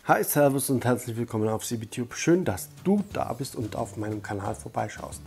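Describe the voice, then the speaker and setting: A man's voice: mid-range voice, expressive, a little fast, vocal fry, darkish tone, faint music behind. A man with a cold walks the viewer through an online tutorial in a friendly, direct way. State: has a cold. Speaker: YouTube channel host. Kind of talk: casual step-by-step tutorial.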